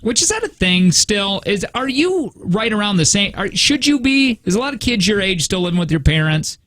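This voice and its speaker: A man's voice: high-pitched, sing-song, normal speed, fairly bright tone, no room sound. A man in his thirties hosting a morning radio show, chatting casually and laughing often. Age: 30s. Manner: chatty, reads listener texts aloud, laughs often. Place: radio studio.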